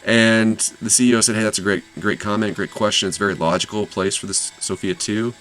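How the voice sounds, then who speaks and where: Deep-voiced man summarizing an earnings call. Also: fairly even intonation, fairly quick, a bit bright, low-quality recording. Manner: conversational, many fillers and self-corrections. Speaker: investing channel host.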